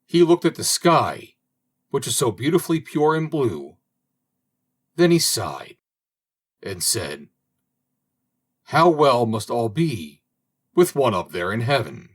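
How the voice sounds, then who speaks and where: Husky man reading a fairy tale aloud. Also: mid-range voice. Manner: slow, expressive reading. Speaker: audiobook reader. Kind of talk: storybook narration.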